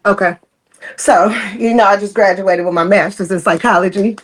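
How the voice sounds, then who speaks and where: A woman's voice: medium pitch, fairly even intonation, normal speed. A woman on a live podcast panel, arguing assertively and repeating herself for emphasis. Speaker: debate panelist. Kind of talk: heated debate, then explaining her view.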